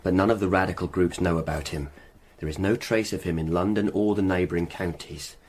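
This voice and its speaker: Deep, earnest Tudor official played by a man. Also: steady tone, fairly quick, a little mellow, slight background noise, natural voice. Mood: grave, worried. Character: earnest, weary Tudor coroner, a dutiful official. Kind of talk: radio drama acting.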